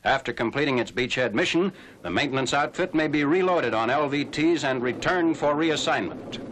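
A man's voice: fairly high pitch, lively intonation, a bit bright. 1940s newsreel man, noisy old soundtrack. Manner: formal, measured announcer delivery. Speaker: newsreel narrator. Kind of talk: scripted 1940s military newsreel narration.

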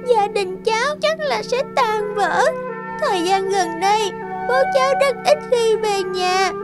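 Very high-pitched, sad little girl. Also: measured pace. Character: distressed young girl pleading about her family. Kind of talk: cartoon dubbing. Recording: loud children's music behind the voice.

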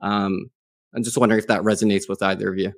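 A man's voice: fairly low pitch, level tone, fast talker. A middle-aged man hosting a fitness interview in a casual, conversational way. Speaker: interview host. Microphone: studio microphone on a video call.

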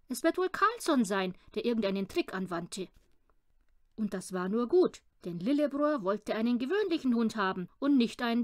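Female audiobook reader for children's stories; high-pitched, expressive, highly animated narration.

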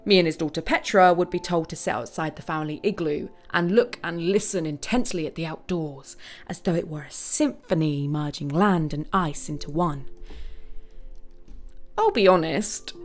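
An actress playing a plucky young bush pilot, delivering a confiding dramatic monologue in an audio play. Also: female voice, fairly low pitch. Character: plucky young female bush pilot narrating her story.

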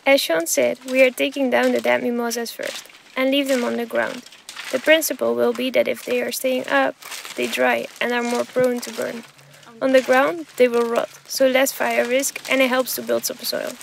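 A woman's voice: fairly high pitch, level tone, normal speed, creaky, a little hiss or hum. A woman hosting a project vlog, narrating and explaining in an upbeat way. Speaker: vlog host.

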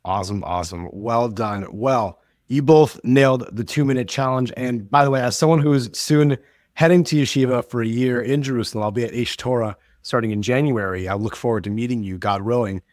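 A man's voice: mid-range voice, brisk, a little mellow, no echo, studio quality. Upbeat young man hosting a podcast. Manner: upbeat, repeats words like awesome. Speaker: podcast host. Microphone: video call.

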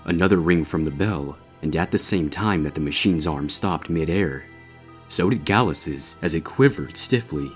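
Low voiced guy narrating a scary fanfic, soft music under it. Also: normal speed. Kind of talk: scripted fiction narration, horror story.

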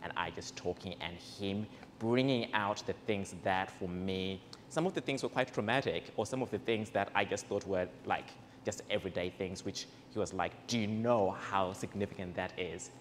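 A young man from Zimbabwe hosting a community event, speaking earnestly and warmly about gratitude into a handheld mic before a seated audience in a large indoor space. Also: sits low, normal speed. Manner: earnest, personal and grateful. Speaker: event host. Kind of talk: speech to a live audience. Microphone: handheld microphone. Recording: reverberant hall, faint background noise.

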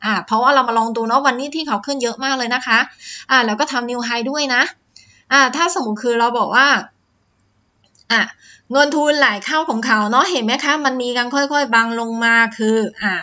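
Woman walking thru stock charts, bright voice, kinda dull lo-fi sound, lots of uhs. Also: on the high side, a little fast. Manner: explains step by step, many "อ่า" fillers and "นะคะ" tags. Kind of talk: screen-share stock chart lesson.

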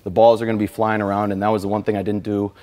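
Brief: young male tennis player, twenties, quick and low-pitched, restless restarts, indoor court interview. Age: 20s. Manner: rambling, animated, full of restarts. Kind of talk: post-match interview answers.

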